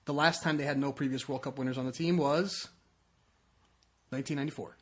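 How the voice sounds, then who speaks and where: A man's voice: medium pitch, fairly even intonation, fairly quick. A man co-hosting a sports podcast, talking soccer casually and often repeating and rephrasing himself as he explains.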